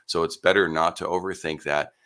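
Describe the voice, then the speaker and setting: A man hosting a live business podcast, hoarse from losing his voice, rambling and upbeat through his intro and sponsor mentions. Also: low voice, fairly even intonation, fairly quick, pushed and bright. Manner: rambling, upbeat, self-deprecating. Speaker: podcast host.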